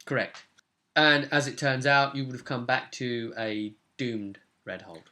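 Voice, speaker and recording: Young guy, game master, chatting casually at home, sharp bright voice. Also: mid-range voice, a little slow. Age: young adult. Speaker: game master recapping a tabletop session. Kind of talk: casual recap chat. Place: small room at home, indoors.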